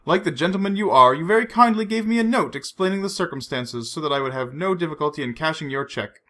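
A man reading a storybook, voicing a suave, menacing male villain who mocks his captive with unhurried threats. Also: on the high side, expressive, fairly bright tone, pitched above the performer's own voice. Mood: mocking. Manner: silky, mocking, unhurried threats.

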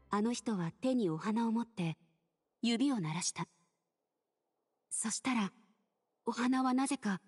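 A middle-aged game character, a gentle lady with a fairly high voice. She speaks tenderly and wistfully, as if reminiscing, over soft music. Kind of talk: Japanese anime game voice acting. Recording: faint music behind the voice.

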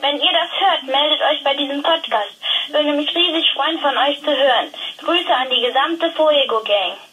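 Young girl caller acted by a female, high and clear-edged, friendly, thankful, telephone effect, audio drama. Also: normal speed, bright, forceful tone, poor audio. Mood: grateful, friendly. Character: young freed captive girl, grateful and friendly. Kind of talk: audio drama acting as phone interview.

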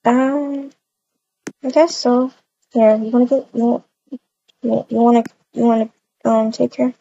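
A woman plays a sweet, doting young mother in a game roleplay. Her voice is high and mellow, tender and hesitant, in a slightly dull recording. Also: flat delivery, unhurried, vocal fry. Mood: sweet, casual.

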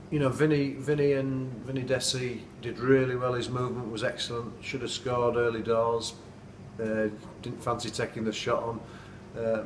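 A man's voice: medium pitch, far from the mic. A middle-aged man, a football manager giving a plain-spoken, earnest post-match interview indoors at night. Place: indoors at night, dim room. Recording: echoey room, off-mic, noisy.

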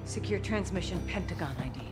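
A woman's voice: fairly low pitch, animated, a little fast, loud music behind the voice, natural voice. An actress playing a composed, serious American female CIA officer in a military game cutscene. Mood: tense, briefing. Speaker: intelligence officer. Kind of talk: cinematic military game acting.

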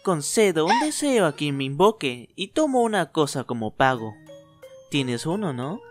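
A man voicing a playful, teasing boy ghost in a fan dub, swinging from low murmurs to loud lines. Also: sing-song, moderate pace. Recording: faint anime music behind.

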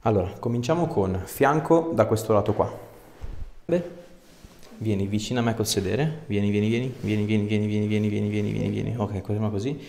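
Low-voiced man, reassuring chiropractor. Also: steady tone, brisk, gravelly, dark tone. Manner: reassuring, informal. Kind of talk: casual hands-on instructions to a patient. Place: small treatment room, indoors. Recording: echoey small room with background noise.

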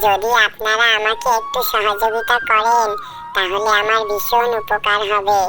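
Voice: medium pitch, level tone, a little slow, music in the background, natural voice. A performer voicing a poor, hungry small boy in a children's cartoon, polite, humble and pleading. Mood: humble, sad. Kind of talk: children's moral cartoon dialogue.

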